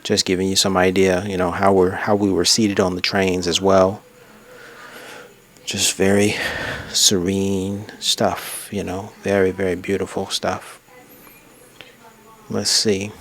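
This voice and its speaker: A man's voice: sits low, flat delivery, slow, pauses often, a bit bright. A man narrating his own travel photos in a casual, unscripted way, rambling and reflective as he reminisces. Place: untreated room at a desk.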